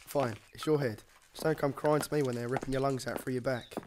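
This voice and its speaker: An actor playing a wary, cynical police partner in a radio drama, blunt and warning. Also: male voice, medium pitch, steady tone, fairly quick, distant mic, background noise, close to an everyday voice.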